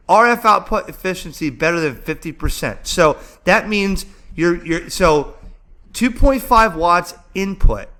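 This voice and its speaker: Bright, higher-voiced enthusiastic man chatting. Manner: excited, chatty, rambling, thinking aloud. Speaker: amateur radio vlogger and host. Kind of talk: casual enthusiastic vlog commentary, browsing and narrating.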